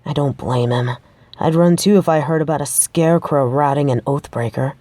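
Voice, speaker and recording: A woman's voice: low-pitched, moderate pace, dark tone, no echo. A woman playing a determined, pragmatic researcher of the supernatural in an audio roleplay, speaking in a conversational, matter-of-fact way. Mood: frustrated, resolute. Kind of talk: audio roleplay acting, addressing the listener.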